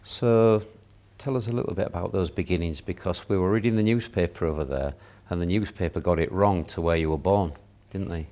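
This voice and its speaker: Man, host, very low voice, brief joking prompts. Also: fairly quick, few pauses, warm tone. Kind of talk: live stage interview.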